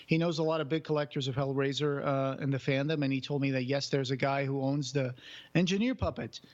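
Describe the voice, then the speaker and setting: A man's voice: medium pitch, steady tone, fairly quick, darkish tone, highs cut off. A man co-hosting a podcast in casual chat, rambling with many restarts and fillers. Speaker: podcast co-host.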